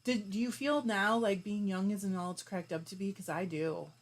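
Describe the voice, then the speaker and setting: A woman's voice: mid-range voice, fairly even intonation. Chatty woman hosting a craft live stream. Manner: chatty and warm, with frequent laughs and quick asides; talks while spinning yarn. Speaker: host of a fiber arts live stream. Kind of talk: casual live-stream chat, reading viewer comments aloud.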